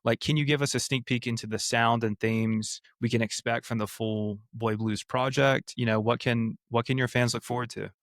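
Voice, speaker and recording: A man's voice: medium pitch, steady tone, moderate pace, few pauses, fairly bright tone, no echo, studio quality. A man hosting an interview, asking long, carefully framed questions and giving short affirmations. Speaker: podcast host interviewer.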